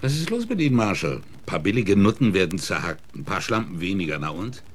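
An actor playing a brash, cynical Wild West newspaper reporter in a German radio play, talking with scorn and defiance. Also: male voice, sits low, big pitch swings, a little fast. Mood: defiant, scornful.

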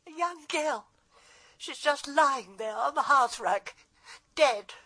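An actress playing an insistent, fretful, middle-aged upper-class English country wife in a radio drama. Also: female voice, sits high, expressive, slow pace, sharp tone, full character voice. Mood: breathless, excited. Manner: emphatic, fretful.

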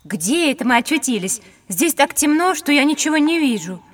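High-voiced, sensible young girl. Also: very animated, normal speed. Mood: sceptical, teasing. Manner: matter-of-fact, teasing. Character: sensible, sharp-witted young girl, gently mocking. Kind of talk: children's educational audio drama.

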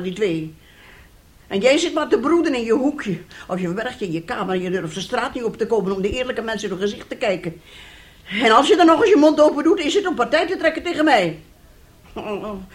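A woman's voice: on the high side, natural voice. A woman acting in a radio drama as a bitter, domineering, self-pitying mother, scolding melodramatically.

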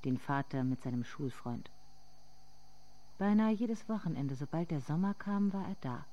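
An actress playing a somber narrator in a literary radio drama, speaking in measured, deliberate phrases. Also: female voice, deep voice, animated, warm tone, lo-fi sound. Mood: quiet, reflective.

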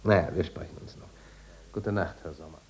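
Low-voiced, patronising asylum doctor. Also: male voice, fairly even intonation, slightly rough. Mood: patronising, measured. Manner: patronising, unhurried, murmuring little questions. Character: smugly genial, probing male asylum doctor. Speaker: medical officer and psychiatrist. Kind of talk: 1950s German radio drama acting.

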